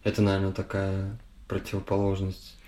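Low, even-toned man, tentative. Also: normal speed. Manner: tentative, hedging phrasing. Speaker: podcast host. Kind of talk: casual supportive conversation.

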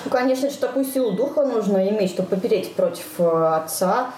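A woman co-hosting a podcast, talking casually in a reflective, halting manner with some hedging. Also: medium pitch, lively intonation, warm tone. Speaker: podcast co-host. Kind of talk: casual conversational discussion. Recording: echoey room with faint noise.

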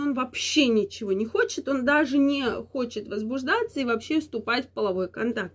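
A woman hosting an advice vlog, explaining things to viewers in a repetitive, emphatic way, her voice affected by a slight cold. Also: a little high. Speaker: channel host giving advice. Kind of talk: explanatory talk to camera for viewers.

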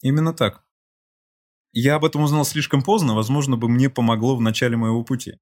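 Man, husky voice, podcast guest, long storytelling, acts out quotes. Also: medium pitch, steady tone, brisk, a little mellow. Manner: explains at length, acts out quoted voices, small laughs. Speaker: podcast guest, creative director. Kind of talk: relaxed interview storytelling.

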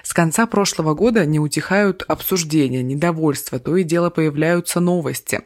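A man's voice: on the high side, brisk, dry recording, studio quality. A man co-hosting a news discussion show, explaining topics steadily and putting questions to a guest.

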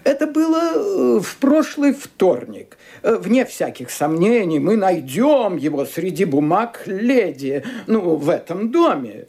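A fussy family lawyer in a radio drama, with a high, husky voice. He speaks slowly and formally, hemming between phrases. His intonation rises and dips as he stays courteous and hesitant. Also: sing-song, a little mellow, exaggerated voice. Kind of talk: radio play acting.

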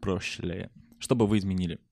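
A man's voice: low-pitched, steady tone, moderate pace, gravelly, mellow. A man hosting a podcast in an informal, conversational style. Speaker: podcast host. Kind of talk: casual chat.

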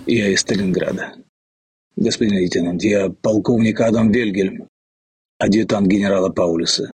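A man acting as a captured German colonel in a war radio drama. His voice is very husky, low and dry, formal and deferential yet insistent. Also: steady tone, normal speed, a little mellow, no room sound. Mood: formal, earnest. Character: captured German colonel, loyal adjutant, politely pleading. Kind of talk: Russian radio play acting.

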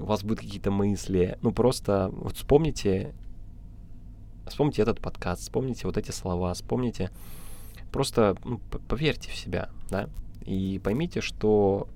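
A man giving informal motivational advice as a podcast coach, halting and repeating himself, often checking in with the listener. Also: fairly low pitch, gravelly, darkish tone, a little hiss or hum.

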